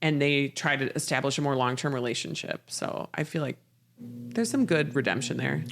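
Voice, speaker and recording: A woman's voice: extremely low pitch, steady tone, moderate pace, a little hiss or hum. A woman hosting a podcast, chatting casually and informally about books. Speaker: podcast host. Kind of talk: casual book-discussion chat.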